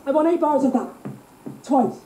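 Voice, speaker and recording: A man's voice: extremely high pitch, lively intonation, normal speed, warm tone, roomy sound, faint music behind, poor audio. A man playing a tyrannical, impatient, foul-mouthed record producer in a film drama. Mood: impatient, demanding. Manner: bossy, impatient, sings out parts as nonsense syllables.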